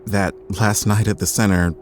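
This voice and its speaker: An actor playing a blunt, dry scientist who checks on a troubled colleague in a gruff but caring way. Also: male voice, fairly low pitch, steady tone, moderate pace, soft background music, natural voice. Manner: blunt, dry, matter-of-fact. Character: blunt middle-aged male lab doctor, gruff but caring. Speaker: scientist character.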